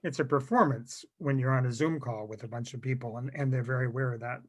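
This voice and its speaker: A man's voice: mid-range voice, steady tone, fast talker. A man moderating a casual video-call discussion, rambling a little and correcting himself as he goes. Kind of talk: casual discussion, asking questions. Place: virtual meeting on video call.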